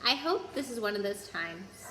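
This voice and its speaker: A woman's voice: medium pitch, lively intonation, moderate pace, sharp tone. A woman voicing a noble, grave male centaur, solemn and formal, in a storybook reading. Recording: roomy echo with noise.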